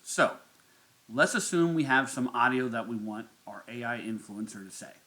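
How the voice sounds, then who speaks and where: Guy doing a software how-to, really bright crisp-edged voice, normal pitch. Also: expressive, normal speed. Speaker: tutorial host. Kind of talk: step-by-step software tutorial narration.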